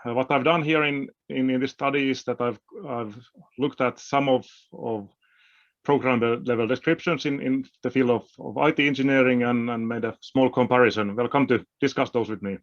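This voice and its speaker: A man's voice: medium pitch, fairly even intonation, normal speed. A man from Finland, whose first language is Finnish, presents a small study, often repeating his words. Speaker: conference presenter. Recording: slightly dull lo-fi audio.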